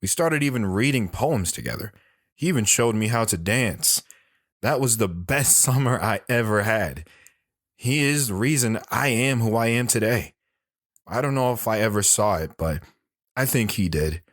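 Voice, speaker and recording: A man's voice: fairly low pitch, lively intonation, moderate pace, no room sound, studio quality. An actor playing a reflective grown man recounting his boyhood in a first-person audio drama. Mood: nostalgic.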